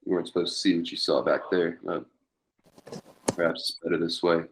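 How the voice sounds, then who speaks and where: A man playing a menacing outlaw gang leader and former cavalry captain in a western table read, speaking in a taunting, deliberate way. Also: low-pitched, steady tone, a little hiss or hum, low-quality recording. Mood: taunting, cold.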